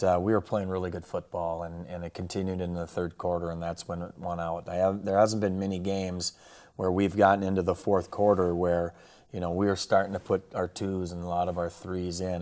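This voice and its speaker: A man with an American accent, a football coach talking casually through a game recap in a plainspoken, halting way. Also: sits low, little pitch movement, darkish tone. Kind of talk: casual sports recap and highlight commentary.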